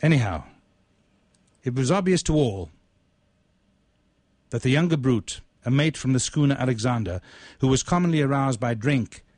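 A man reading his own literary short story aloud in a deliberate, measured, ornate manner. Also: mid-range voice, lively intonation, darkish tone, dry recording.

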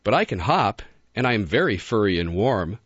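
A man voicing a small, lonely young male bunny who talks in a high, pleading, sobbing way. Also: big pitch swings, moderate pace, breathy edge, no room sound, lo-fi sound. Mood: wistful.

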